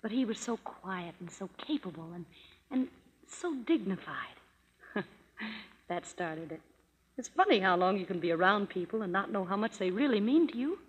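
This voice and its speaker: An actress playing a tender, contented grown-up sister, earnest and wistful in 1930s radio drama style. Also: female voice, medium pitch, animated, normal speed, breathy edge. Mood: wistful, happy. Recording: muffled, reverberant 1930s radio broadcast.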